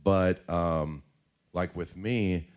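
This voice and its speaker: Young male co-host, deep low voice, loose earnest rambling feedback. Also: fairly even intonation, very slow, a little mellow, clear, resonant tone. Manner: earnest, rambling, lots of fillers. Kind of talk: casual feedback chat.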